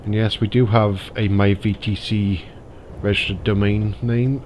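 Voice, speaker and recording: A man's voice: lowish voice, flat delivery, measured pace, a little mellow, slight background noise. A man with a slightly stuffy nose from a cold, chatting casually and thinking aloud as he plays a driving game. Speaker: gaming YouTuber. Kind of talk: casual gameplay commentary.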